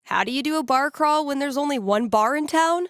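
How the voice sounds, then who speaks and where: A woman's voice: sits high, expressive, fairly quick, no room sound, clean studio sound. An actress voicing a wry, playful young woman who hosts small-town radio in a video game, with a casual, irreverent, conversational delivery. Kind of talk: casual, jokey radio ad reads and call-in chat.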